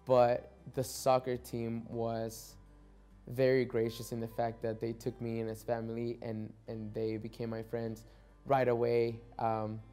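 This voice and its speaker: A young man from Mexico with a mellow, dark voice whose first language is Spanish. He talks in an even, flat tone and often repeats words as he restarts. Soft guitar music plays behind him. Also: mid-range voice, unhurried, clear, resonant tone. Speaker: soccer player. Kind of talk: documentary interview.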